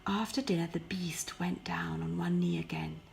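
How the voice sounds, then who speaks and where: A woman narrating a scripted fairy tale as an expressive storyteller, often hushing her phrases. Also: fairly low pitch, moderate pace, creaky. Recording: faint background noise.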